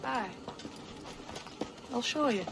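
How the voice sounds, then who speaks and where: Blunt assassin, noisy ambience. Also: female voice, a little high, animated, exaggerated voice. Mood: instructive. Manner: blunt, measured. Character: tough, streetwise young assassin in pirate era. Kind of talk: video game cutscene dialogue. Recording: clear ambient noise behind game dialogue.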